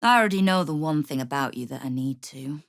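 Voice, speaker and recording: Casting: tough thieves' guild boss for a game, female, low pitch, wry, controlled menace, dry close recording. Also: lively intonation, quick pace, no echo. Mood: coolly menacing. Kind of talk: video game character dialogue.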